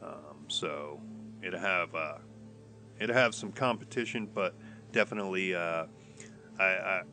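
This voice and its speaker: A man's voice: low voice, measured pace, pauses often, pushed and bright. A man with a stuffy nose from a cold, casually commenting while playing a game, rambling and hesitant with many restarts. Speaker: gaming YouTuber.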